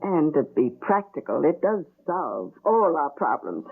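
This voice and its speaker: An actress playing a fussy, superstitious, doting middle-aged aunt in an old-time radio drama, on a tinny recording. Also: female voice, sits low, sing-song, a little slow, gravelly, breathy edge, heavily acted. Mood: wistful.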